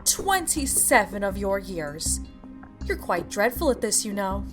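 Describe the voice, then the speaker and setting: A woman's voice: mid-range voice, very animated, a little slow, soft background music. A woman voicing a sugary fairy queen with a violent temper, sweetly condescending until she shrieks, in a comic fan dub.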